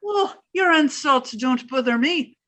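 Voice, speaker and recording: A woman's voice: high voice, lively intonation, unhurried, a bit bright. A woman playing a fussy older Irish landlady with a proud, indignant manner in a 1950s-style radio comedy. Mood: chirpy. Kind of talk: 1950s radio sitcom acting.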